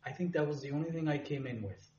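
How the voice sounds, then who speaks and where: A soft-spoken, earnest man from Puerto Rico talks as a friar being interviewed. His voice sits mid-range. It sounds roomy and dull, with a faint hum behind it. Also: on the high side, fast talker. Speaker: friar and guest. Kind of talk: podcast interview.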